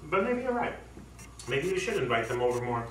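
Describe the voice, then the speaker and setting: Guy acting a sarcastic excitable young husband in a stage play, voice all over the place, distant n echoey. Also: medium pitch, brisk, lightly acted. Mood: sardonic. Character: sardonic, excitable young married husband, easily flustered. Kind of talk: amateur stage comedy acting. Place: small theatre, distant microphone. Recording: echoey stage recording from far off, noisy.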